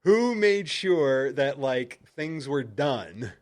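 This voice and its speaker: A man's voice: mid-range voice, big pitch swings, drawn-out words. A man co-hosting a casual podcast, teasing and sarcastic in his banter. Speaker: podcast co-host. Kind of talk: casual chat.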